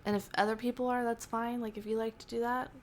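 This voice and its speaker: A woman's voice: fairly high pitch, fast, a little hiss or hum. Young woman podcast cohost, laid back, kinda trails off. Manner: relaxed, agreeable, trails off. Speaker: podcast co-host. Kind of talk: casual chat.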